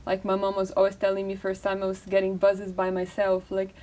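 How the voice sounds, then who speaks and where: A woman's voice: mid-range voice, completely flat delivery, fairly quick, warm tone, distant mic. A young woman from Brazil with a Brazilian Portuguese accent, a guest talking at length in a casual interview and leaning on fillers like "like" and "uh". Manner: talkative, many fillers like "like" and "uh".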